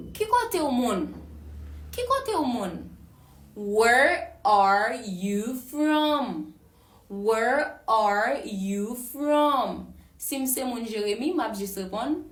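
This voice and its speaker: A woman teaching a language lesson, explaining in Creole and repeating English phrases slowly, recorded in an echoey room. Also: fairly high pitch, a bit bright, recorded from a distance. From Haiti. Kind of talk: slow, deliberate language lesson. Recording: echoey room, voice set back from the mic.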